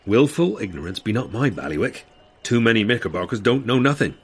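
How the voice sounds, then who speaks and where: Salty illiterate dockhand for comic audio drama, husky, very animated, full of old sailor bluster over a shy heart. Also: male voice, on the low side, fairly quick, heavily acted. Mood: gruff bluster. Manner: old-fashioned sailor talk, blustery, folksy.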